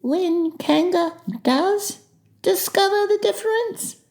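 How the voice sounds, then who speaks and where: A man's voice: very high-pitched, takes it slowly, creaky, voice pushed up, exaggerated voice. A man voicing a very small, timid piglet, hesitant and worried, in a dramatized storybook. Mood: anxious, hesitant.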